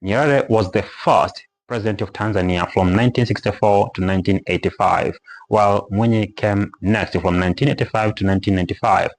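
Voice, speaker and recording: A man teaching English pronunciation in a casual spoken lesson, explaining step by step and slowly repeating example words. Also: lowish voice, fairly even intonation, rough recording.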